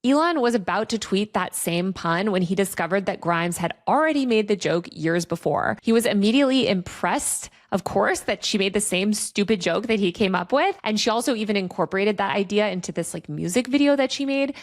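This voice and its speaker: Female video essayist, mid-pitched voice. Conversational explainer read, wry and opinionated, casual asides. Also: lively intonation, a little fast, hardly any pauses. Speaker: video essayist, online culture commentator.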